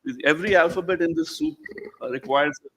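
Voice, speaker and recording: A man with an Indian accent moderating a panel discussion, speaking in a conversational, anecdotal way with halting digressions and lists. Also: a little high, expressive, fairly bright tone, low-quality recording. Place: live event before a small audience.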